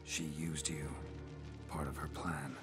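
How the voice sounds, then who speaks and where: A man voicing a blunt, weary monster hunter in a video game, speaking in terse, deadpan lines. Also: a bass voice, takes it slowly, over music. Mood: accusing, matter-of-fact. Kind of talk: cinematic video game dialogue.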